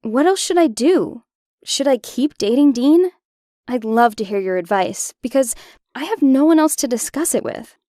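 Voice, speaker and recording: A woman's voice: sits high, lively intonation, normal speed, dark tone, dry recording, clean studio sound. A woman voicing an insecure teenage schoolgirl, confiding wistfully in a first-person cartoon story narration. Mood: dejected. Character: insecure teenage schoolgirl confiding about dating a rich popular boy.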